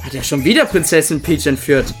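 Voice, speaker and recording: A man's voice: a little high, expressive, fairly quick. A man acting as himself in a scripted amateur audio play. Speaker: cast member playing himself in audio play. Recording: music bed behind the voice.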